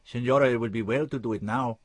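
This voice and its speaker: A man's voice: mid-range voice, steady tone, fast, slightly rough, no room sound, pitched above the performer's own voice, lightly acted. A man voicing a frightened male chief surgeon who pleads deferentially and fearfully. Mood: fearful pleading.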